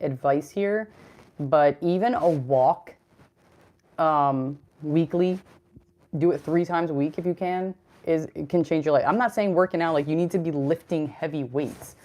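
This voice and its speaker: A young adult woman with a deep voice hosting a casual fitness livestream, chatty and rambling as she answers chat comments. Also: unhurried, mellow.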